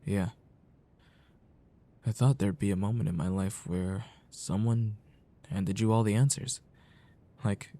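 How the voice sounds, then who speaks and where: Guy playing old friend tired of adulthood, soft asmr, lowish dark mellow voice, caring and kinda halting. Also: fairly even intonation. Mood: reflective. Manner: slow, halting, reflective. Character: wistful, caring male childhood friend, weary of adulthood. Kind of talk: ASMR roleplay, soft intimate talk.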